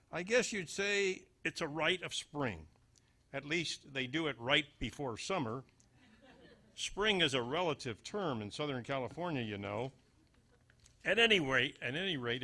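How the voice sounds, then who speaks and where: A man's voice: fairly high pitch, pushed and bright. A man delivering a scripted humorous small-town monologue as a storyteller, with folksy, dry understatement. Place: live before an audience.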